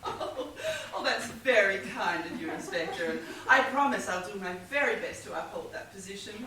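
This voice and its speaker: A woman's voice: medium pitch, expressive, moderate pace, crowd noise, natural voice. An actress on stage playing a wealthy, scatterbrained middle-aged widow under suspicion, airy and theatrical in her evasive innocence. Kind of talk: stage comedy acting. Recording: echoey theatre, distant mic, faint noise.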